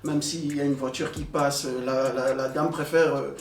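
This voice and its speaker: A young man who grew up in Belgium, telling his own experiences in a relaxed group talk with many fillers and pauses. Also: mid-range voice. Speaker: panel guest. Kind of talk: casual conversation. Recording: echoey room, distant mic, soft music.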